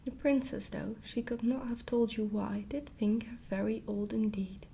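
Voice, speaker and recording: A woman gently reading a storybook aloud in a hushed, whispered ASMR style. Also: fairly high pitch, fairly even intonation, moderate pace, vocal fry, slight background noise. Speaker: storybook reader, ASMR creator.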